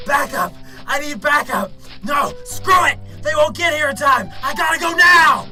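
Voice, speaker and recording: A man playing a cynical, sarcastic detective in an amateur radio drama, with a gruff, sardonic manner. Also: extremely high pitch, normal speed, over music. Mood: frantic, enraged. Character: cynical, sarcastic Chicago detective, a worn father.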